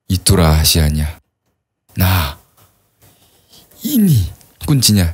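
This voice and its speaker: A man's voice: sits low, wildly swooping pitch, husky. A man from South Korea speaking with a strong Korean accent, a podcast guest telling his story in an earnest, reflective way. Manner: earnest, reflective storytelling with a slow, careful recitation. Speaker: guest, YouTuber. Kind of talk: casual podcast conversation.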